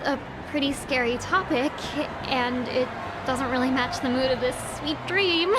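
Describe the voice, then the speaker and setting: An actress playing a sweet, polite young woman singer in a video game, gentle and a little hesitant. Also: high voice, measured pace, very noisy.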